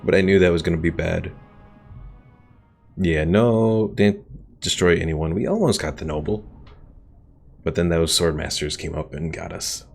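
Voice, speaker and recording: Low, creaky-voiced male gaming streamer. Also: unhurried, pauses often, soft background music. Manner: chatty, self-deprecating, reads out chat jokes. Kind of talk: casual gameplay commentary.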